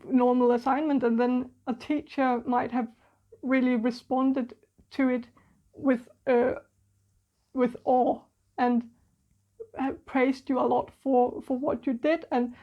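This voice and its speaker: A woman's voice: high-pitched, flat delivery, takes it slowly, pauses often, muffled recording. A woman giving a channeled tarot reading, for whom English is a third language, speaking hesitantly with many fillers and restarts. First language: not English. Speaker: tarot reader.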